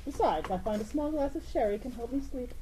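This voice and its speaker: A woman's voice: on the high side, expressive, quick pace, very noisy, lightly acted. A woman playing a plucky, easily exasperated young inventor heroine in a comic radio play. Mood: sheepish.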